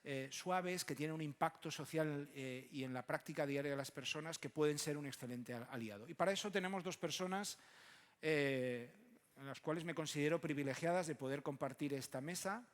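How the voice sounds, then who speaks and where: A man from moderates a conference panel, speaking haltingly and often ending on short tag questions. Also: on the high side. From Barcelona, Spain. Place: large conference room. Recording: echoey hall with long reverb.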